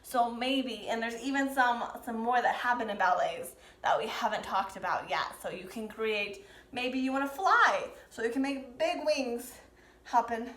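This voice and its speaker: High-voiced woman teaching in an echoey room. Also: moderate pace. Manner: encouraging, gently prompting. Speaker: dance teacher leading a children's pantomime lesson. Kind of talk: instructional demonstration addressed to young learners. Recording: roomy, echoing sound.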